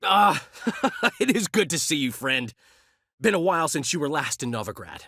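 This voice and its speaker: A man's voice: a little high, very animated, normal speed, pushed and bright, no echo, clean studio sound, heavily acted. An actor playing a flamboyant, talkative male bard in a video game, witty and theatrical. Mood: playful. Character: flamboyant, chatty middle-aged male bard and poet. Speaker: game character.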